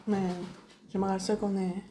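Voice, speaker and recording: A woman's voice: medium pitch, flat delivery, moderate pace, dark tone, a little hiss or hum. A young woman with a Quebec French accent, answering interview questions hesitantly and searching for words. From Innu community, Quebec. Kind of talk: casual interview.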